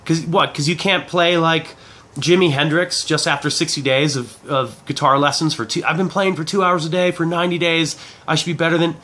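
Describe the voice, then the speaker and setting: A man in his forties with a head cold, giving a casual, rambling video pitch as an online product reviewer, informally repeating and rephrasing himself. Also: fairly even intonation, fairly quick, bright, forceful tone. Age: 40s. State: head cold, clearing throat. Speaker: affiliate marketing reviewer.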